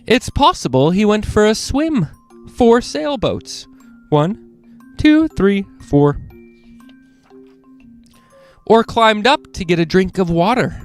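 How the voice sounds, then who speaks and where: A man hosting a children's read-along, reading picture books aloud in an animated way and speaking directly to young listeners. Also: sits high, slow, long pauses, clear, resonant tone, faint music behind.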